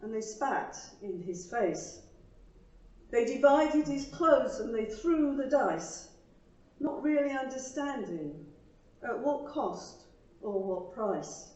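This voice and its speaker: A woman reading her own rhymed devotional poem to a church congregation, with earnest, emphatic phrasing and soft falling line endings. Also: fairly high pitch, animated, slow pace. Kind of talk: rhymed devotional poetry recital. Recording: roomy, distant, slightly dull microphone pickup.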